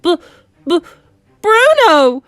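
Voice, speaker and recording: A woman's voice: extremely high pitch, big pitch swings, very slow, a bit bright, no echo, pitched above the performer's own voice, heavily acted. A woman voicing a pompous, outraged middle-aged English father in a storybook, spluttering with indignation. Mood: stammering shock.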